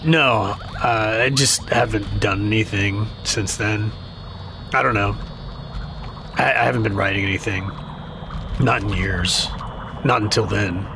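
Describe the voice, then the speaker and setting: An actor playing a sardonic, defensive younger brother in a naturalistic audio drama, speaking in dry, halting, casual slang. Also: male voice, lowish voice, creaky, noisy background. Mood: subdued. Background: music.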